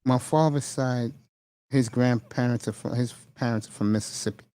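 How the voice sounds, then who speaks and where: Encouraging male host, halting and earnest. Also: medium pitch, fairly even intonation, clean tone. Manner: warm, encouraging, hesitant fillers. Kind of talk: casual conversation.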